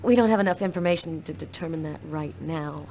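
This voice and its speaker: A woman playing an analytical, measured police detective in a video game. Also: low voice, darkish tone, a little hiss or hum. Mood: businesslike.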